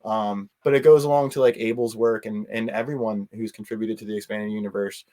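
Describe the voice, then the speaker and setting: A man speaking as an interview guest in a casual, earnest and halting way, often circling around his point. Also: lowish voice, steady tone, warm tone. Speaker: interview guest, convention organizer.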